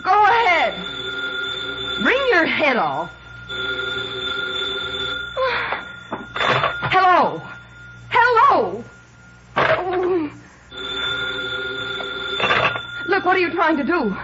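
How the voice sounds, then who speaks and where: An actress playing a frightened, secretive young woman in a 1950s radio drama, speaking haltingly and nervously. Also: extremely high pitch, huge pitch swings, full character voice. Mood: frantic, exasperated. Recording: muffled, noisy vintage radio recording.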